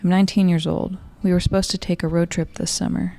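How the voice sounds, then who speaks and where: A young American woman narrates a personal story in a fairly low voice with audible fry. Her delivery is measured and reflective, and her pitch stays very even from phrase to phrase. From Colorado, United States. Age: 20s. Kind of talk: scripted first-person narration. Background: music.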